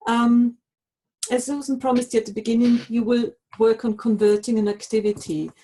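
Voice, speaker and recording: A woman's voice: fairly high pitch, fairly even intonation, normal speed, warm tone, low-quality recording. A woman presenting a live online lesson for language teachers, explaining tools and giving practical instructions.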